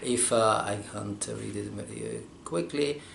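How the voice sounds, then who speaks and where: A man from Russia, a scholar answering interview questions, pausing often as he searches for his words. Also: fairly low pitch, vocal fry, a bit bright. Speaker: scholar interviewed about manuscript studies. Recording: roomy echo, faint background hum.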